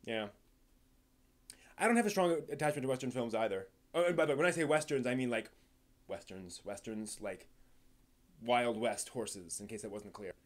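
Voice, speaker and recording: A man hosting a casual live stream, commenting on news in a rambling, halting way, often restarting his sentences and stressing points before trailing off. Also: mid-range voice.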